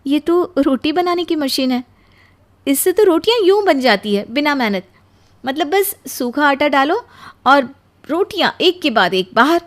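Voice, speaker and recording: Woman doing sweet young indian bahu for a cartoon story, earnest n grateful, really expressive. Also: high voice, a little slow, pitched above the performer's own voice. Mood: happy, grateful. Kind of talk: animated Hindi moral story voice acting.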